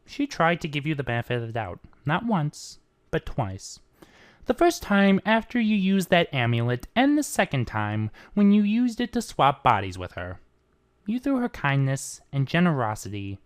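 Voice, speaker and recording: Amateur reader as wounded, furious big-brother stallion, swooping pitch. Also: very animated, measured pace. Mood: cold, controlled anger. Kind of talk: amateur dramatic fan fiction reading.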